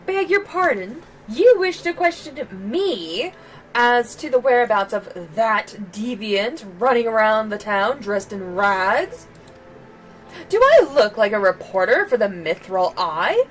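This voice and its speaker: A woman's voice: on the high side, sing-song, a little slow, rough recording. A woman voicing a haughty, indignant townswoman in a video game, with disdainful, formal phrasing. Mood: haughty, offended.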